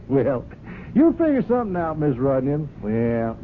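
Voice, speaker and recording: A man's voice: fairly high pitch, wildly swooping pitch, unhurried. Through a noisy, narrow-band old radio broadcast comes a sly American ranch hand. His folksy talk swings up and down as he needles with mock politeness, a small-time blackmailer in a radio play. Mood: smug, menacing. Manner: drawling folksy speech, insinuating, mock-polite. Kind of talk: radio drama acting.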